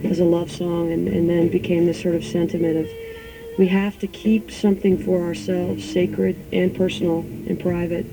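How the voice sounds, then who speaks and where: A woman musician answering interview questions in a thoughtful, reflective way, sometimes pausing to search for words. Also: lowish voice, little pitch movement, creaky, music in the background, low-quality recording.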